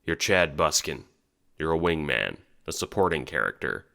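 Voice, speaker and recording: A man's voice: very deep voice, expressive, normal speed, gravelly, bright, forceful tone. A man reading second-person scripted narration for an audio drama. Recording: background music under the voice.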